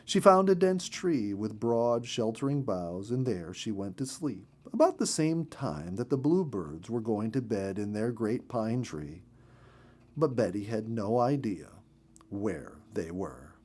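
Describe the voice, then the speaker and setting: A man reading a children's story as narrator, expressive and carefully emphatic. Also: lowish voice, unhurried, husky.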